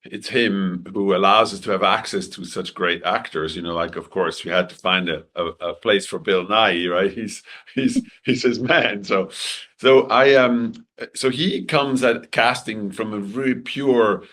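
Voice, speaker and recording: A man from Switzerland, a film director answering interview questions in a halting way with many restarts, clearing his throat as his voice goes. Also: lowish voice, a bit bright.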